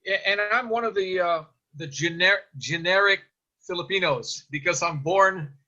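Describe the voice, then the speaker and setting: A man's voice: high-pitched, moderate pace, pushed and bright. An American man in his fifties giving earnest impromptu remarks as a panelist in an online meeting, often repeating words as he goes. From United States. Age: 50s. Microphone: video call. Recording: dull, lo-fi video call audio.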